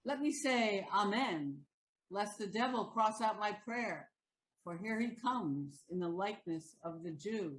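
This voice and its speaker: A woman playing a wry, sharp-tongued Venetian townsperson in a Shakespearean audio play, speaking in a stagey, declamatory way. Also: mid-range voice, lively intonation, unhurried, lightly acted, low-quality recording. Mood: wry, curious.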